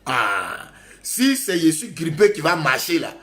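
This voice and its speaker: A man's voice: on the high side, sing-song, unhurried, fairly bright tone. A male pastor preaching a sermon, urging his congregation with emphatic, repetitive exhortations.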